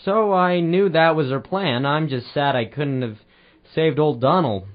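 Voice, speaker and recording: A man's voice: on the high side, unhurried, lightly acted. A man playing a hard-boiled, world-weary private eye in an audio drama, narrating in a wry noir style. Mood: wry, regretful. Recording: dull lo-fi home recording, background hiss.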